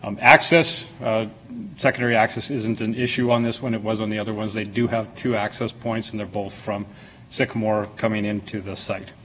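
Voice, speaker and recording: A man's voice: on the low side, level tone, brisk, fairly bright tone, slight background noise. A man giving an informal, plain-spoken staff presentation to a council, explaining details as a city planner. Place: council meeting room.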